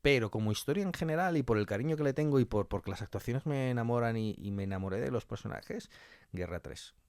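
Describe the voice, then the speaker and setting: A man's voice: medium pitch, lively intonation, fast talker, a little mellow, no echo. A man with an accent from Spain, chatting casually as an interview guest in an effusive, colloquial way, repeating things for emphasis. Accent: Spanish from Spain. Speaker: interview guest, audio fiction scriptwriter.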